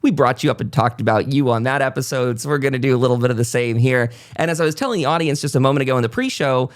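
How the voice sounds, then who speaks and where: A man's voice: mid-range voice, racing. A man hosting a casual, unscripted podcast in a chatty, informal manner. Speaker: podcast host.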